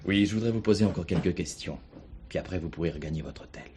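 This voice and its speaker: An actor playing a calm, shrewd police commissioner in a French stage thriller, questioning with deliberate politeness. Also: male voice, low-pitched, natural voice. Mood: politely probing. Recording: 1970s stage play recording, some hiss.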